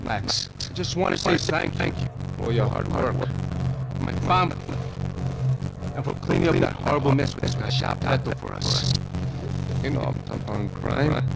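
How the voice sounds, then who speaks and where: An actor playing a smug, condescending villain who mocks and patronises. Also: male voice, mid-range voice, lively intonation, normal speed, gravelly, long pauses, rough recording. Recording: game cutscene with loud music.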